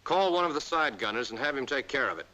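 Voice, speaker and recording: A man's voice: medium pitch, lively intonation, sharp tone, muffled recording. Man narrating a military training film. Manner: brisk, direct, addresses the listener as "you". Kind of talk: scripted instructional narration.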